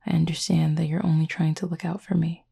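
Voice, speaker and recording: A woman playing a gentle, composed horned cupid roommate in an intimate roleplay audio, soft-spoken, measured and formally worded, tenderly teasing and reassuring. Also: deep voice, level tone, heavily acted. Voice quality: soft, breathy, husky.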